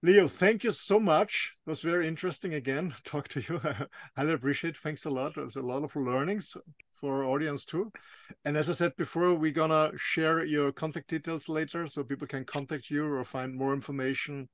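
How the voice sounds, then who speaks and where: German-accented man hosting, halting. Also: on the high side, fairly even intonation, no echo, clean studio sound. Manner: halting, repeats words. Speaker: podcast host. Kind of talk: interview and sign-off.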